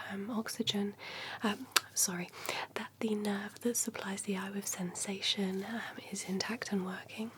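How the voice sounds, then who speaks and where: A woman whispering breathily in an ASMR doctor roleplay, speaking gently and hesitantly like an attentive clinician. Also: mid-range voice. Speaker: ASMR performer playing an eye doctor. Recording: clear background noise and ambience.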